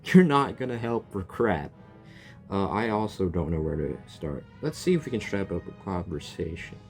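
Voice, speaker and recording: A man playing through a video game and reacting as he goes. His pitch moves about a lot, and he jokes freely and heckles the characters on screen, all in a loose, offhand style. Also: sing-song, moderate pace, darkish tone, faint music behind. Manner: jokey, talks back to the characters, playful. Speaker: gamer reacting to a story quest. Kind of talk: casual gaming commentary.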